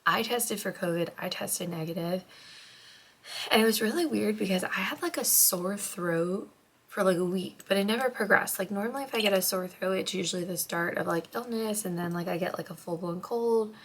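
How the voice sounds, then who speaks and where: A young woman vlogging as a stay-at-home mom, chatting casually and rambling with lots of "like", her voice slightly hoarse and congested after being sick, sometimes dropping to a soft near-whisper. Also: mid-range voice, moderate pace, slight background noise. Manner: chatty, rambling, heavy use of "like". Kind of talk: casual chat to camera. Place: home, getting ready.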